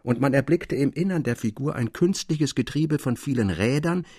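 Actor as a husky, thoughtful literary storyteller. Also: male voice, medium pitch, no room sound, clean studio sound, lightly acted. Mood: composed, intriguing. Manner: measured, formal, expressive. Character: thoughtful literary storyteller relishing eerie tales. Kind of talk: scripted literary narration.

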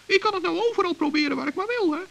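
A man playing a small, proud, excitable forest gnome in a children's radio play, chatty and self-important, with a squeaky voice. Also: rapid-fire, noisy background. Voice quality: high, creaky, squeaky. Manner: chatty, self-important, stammers when flustered.

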